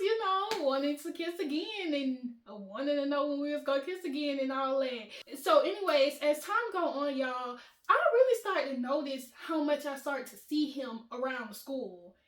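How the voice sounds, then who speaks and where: A woman hosting her channel, telling a storytime in casual chat with a high-pitched voice, animated and laughing often. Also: reverberant. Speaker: vlogger, channel host.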